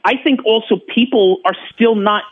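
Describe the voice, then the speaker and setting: A high-pitched man heard over a thin, narrowband phone line. He is a caller speaking earnestly, in a halting way, repeating words to stress his points. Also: fairly even intonation.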